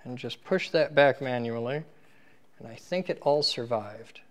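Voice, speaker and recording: A man's voice: mid-range voice, a little slow. A man hosting an equipment teardown, talking informally and casually to viewers and to himself as he works with his hands. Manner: informal, muttering to himself while working, animated when addressing viewers. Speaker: host of an equipment teardown video series. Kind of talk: casual hands-on demonstration, talking while working. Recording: clear background noise, handling clicks.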